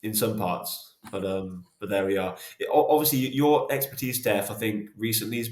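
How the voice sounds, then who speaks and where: A man's voice: fairly low pitch, steady tone, normal speed, a little mellow. A man hosting a podcast interview, asking casual, rambling questions in a friendly way. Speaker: podcast host, co-founder. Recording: echoey room.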